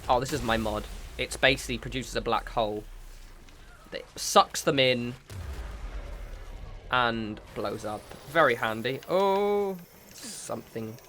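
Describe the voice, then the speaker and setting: A man at a middle pitch talks over his gameplay in a loose, rambling way, often stopping to correct himself. He sounds a bit sniffly from a cold. It is relaxed, casual commentary into a desk mic. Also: unhurried, pauses often, slight background noise. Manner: rambling, self-correcting, chatty asides. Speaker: gaming channel host.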